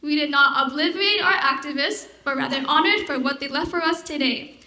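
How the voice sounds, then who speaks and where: A teenage high school senior giving an earnest, formal prepared speech at a podium mic on a theater stage. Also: female voice, brisk, pushed and bright. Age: teens. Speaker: student speaker. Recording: roomy theater sound.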